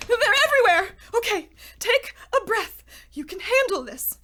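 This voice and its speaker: A woman playing an anxious, paranoid, high-strung jobless woman in a comedic old-time radio play, theatrical and flustered. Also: very animated, normal speed, noisy background. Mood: panicked.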